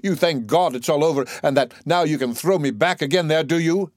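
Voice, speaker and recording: A man's voice: fairly high pitch, a little fast, dry recording, studio quality, voice pushed up, natural voice. A man voicing a proud young working-class woman, emotional, bitter and defiant, in a play reading. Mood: furious.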